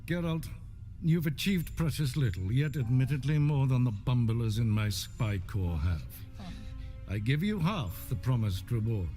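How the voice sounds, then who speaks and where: An actor playing a cold, haughty middle-aged emperor in a video game, speaking in a formal, commanding, curt way. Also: male voice, medium pitch, lively intonation, unhurried, dark tone, soft background music, lightly acted. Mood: cold, dismissive. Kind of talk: video game cutscene acting.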